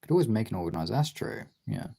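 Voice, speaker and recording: Creaky, mellow streamer muttering while drawing. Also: mid-range voice, very animated, fast. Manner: absent-minded, half-muttering thinking aloud. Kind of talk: casual livestream chat while drawing.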